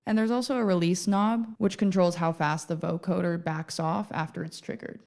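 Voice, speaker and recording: A woman's voice: on the low side, fairly even intonation, normal speed, loud music behind the voice. A woman hosting a casual tutorial, talking in an informal, chatty way with playful asides. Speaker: video host and music gear reviewer.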